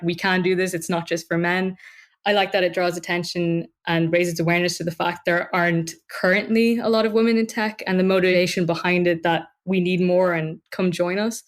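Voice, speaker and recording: A woman with a slightly low voice answers interview questions. She speaks earnestly and conversationally, thinking through her points as she goes. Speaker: podcast interviewee, tech worker.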